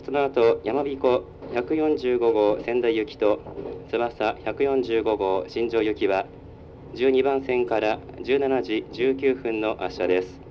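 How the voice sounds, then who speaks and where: Male train conductor, mid-pitched, formal and procedural, heard over a muffled onboard public address speaker. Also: measured pace. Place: inside a moving train. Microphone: recorded from the carriage speaker. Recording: muffled train PA, rumbling vehicle noise. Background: train running noise.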